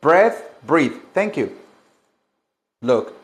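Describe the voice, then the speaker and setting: A man teaching English, switching between languages and repeating each phrase slowly for learners. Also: on the high side, sing-song. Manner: slow, deliberate drilling with many repetitions. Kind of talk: bilingual language lesson.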